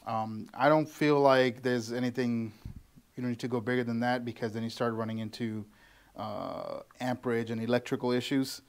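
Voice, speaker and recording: A man in his thirties talks through a how-to in a relaxed, rambling way, pausing on ums and restarting his sentences. His voice sits at a middling pitch and is picked up close by a handheld camera in a small, plain office. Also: clear, resonant tone. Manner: loose and conversational, with frequent ums and restarts. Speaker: tuning shop mechanic. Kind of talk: casual how-to walkthrough. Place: small office with bare walls, indoors. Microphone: handheld camera close to face.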